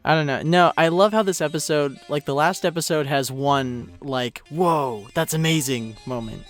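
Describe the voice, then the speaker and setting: A young man with a fairly high voice gives relaxed, informal commentary on an animation he made. Faint music plays behind him. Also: normal speed. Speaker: creator commentating on an animated parody. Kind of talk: casual commentary. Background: cartoon soundtrack with music and dialogue.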